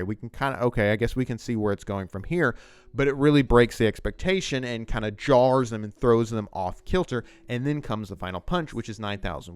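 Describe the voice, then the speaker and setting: A man with a low, clean and resonant voice talks through a lesson informally. He rambles conversationally, leaning hard on certain words as he explains. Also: clear, resonant tone. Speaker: writing teacher and video essayist. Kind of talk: informal explanatory commentary on screenwriting.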